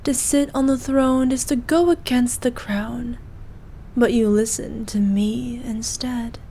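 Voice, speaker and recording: A woman playing a regal, possessive evil queen who dotes on her knight in an intimate ASMR roleplay, speaking formally and softly, at times in breathy whispers. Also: a little high, steady tone, a little hiss or hum. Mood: teasing, fond. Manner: regal, slow, archaic formal speech.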